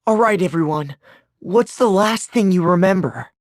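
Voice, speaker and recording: A woman's voice: on the low side, expressive, normal speed, dry recording, clean studio sound, lightly acted. Woman as an earnest, composed game heroine. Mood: concerned, resolute. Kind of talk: video game voice acting.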